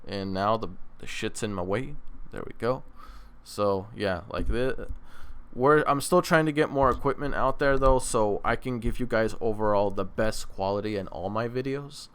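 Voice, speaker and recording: A man's voice: on the low side, moderate pace, clean tone. A man hosting a reaction video in casual, rambling chat, often repeating and rephrasing himself. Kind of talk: casual review chat to camera. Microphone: microphone on a stand.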